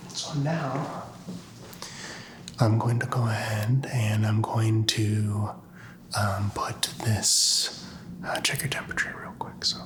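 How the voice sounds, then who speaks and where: A man speaking in a soft, breathy whisper, playing a doctor in an ASMR medical exam, gentle, halting and reassuring. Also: lowish voice, flat delivery, background noise. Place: small doctor's office.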